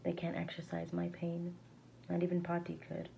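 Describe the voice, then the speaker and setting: A woman reads verse aloud in a slightly low, very creaky voice with a soft, dark tone. Her delivery is halting, with words now and then stumbled over and read again. There is faint noise behind her. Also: monotone, highs cut off. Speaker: amateur reader. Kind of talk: reading a verse novel aloud.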